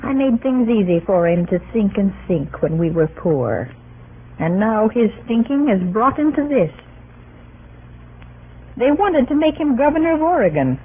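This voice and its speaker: A devoted, plain-spoken wife with a sharp tongue and earnest pride. Her voice is high and husky, with slow theatrical phrasing. It comes through a thin vintage radio recording. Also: very animated, exaggerated voice. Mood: firm, proud. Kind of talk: 1940s radio acting. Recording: muffled, band-limited old radio recording.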